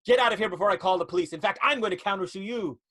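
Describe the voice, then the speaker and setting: A man's voice: extremely high pitch, expressive, brisk, a bit bright, breathy edge, pitched above the performer's own voice, heavily acted. A man voicing a young woman pharmacist, pleading and melodramatic in an improvised story. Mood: outraged.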